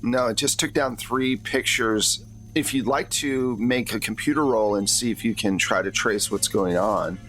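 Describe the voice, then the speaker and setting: A man's voice: mid-range voice, moderate pace, fairly bright tone, soft background music, poor audio. A man narrating a tabletop roleplaying game as game master in a casual, conversational way.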